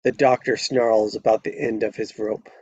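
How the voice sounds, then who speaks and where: A man's voice: fairly low pitch, little pitch movement, normal speed, darkish tone, slightly rough, dull audio. A man narrating a screenplay at a live table read, stumbling over words now and then and correcting himself.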